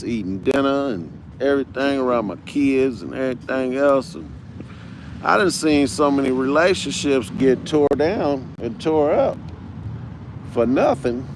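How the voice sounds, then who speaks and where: Unwell man of fifty, livestream host, loose slangy ramble, unhurried with a lively pitch. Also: gravelly, noisy background. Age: 50s. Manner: rambling, street slang, repeats himself. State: unwell, tired. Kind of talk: casual rambling livestream talk.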